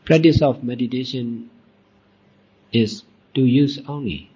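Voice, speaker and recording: A man teaching as a Buddhist monk, leading a guided meditation in deliberate short phrases with long pauses. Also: medium pitch, dark tone, husky. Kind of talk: guided meditation dhamma talk. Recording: dull, muffled lo-fi recording.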